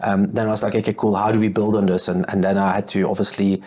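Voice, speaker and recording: A man's voice: on the low side, level tone, fast talker, darkish tone. A man in his thirties, a guest on a podcast, chatting casually about his beekeeping business and acting out old conversations with lots of fillers. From Belgium. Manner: chatty, acts out past conversations with many fillers. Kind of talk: casual interview storytelling.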